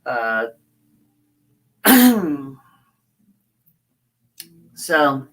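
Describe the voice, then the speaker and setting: A hoarse woman chatting casually on a livestream as she builds a scale model, rambling and halting with frequent fillers. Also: extremely low pitch, very animated. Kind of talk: casual livestream chat while working.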